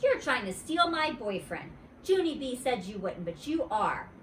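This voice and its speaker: Woman acting a grouchy little girl, storybook, possessive, bossy, lively intonation, distant mic. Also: fairly high pitch, a little slow, slight background noise, voice pushed up. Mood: jealous, bossy. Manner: bossy, huffy, childish. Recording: roomy echo, distant mic.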